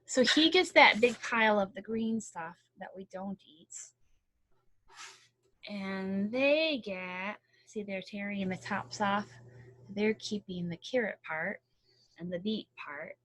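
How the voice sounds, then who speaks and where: A woman's voice: mid-range voice, slow pace, fairly bright tone, no room sound, rough recording. A woman reading a picture book aloud expressively, with casual explanatory asides and questions. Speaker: storybook reader.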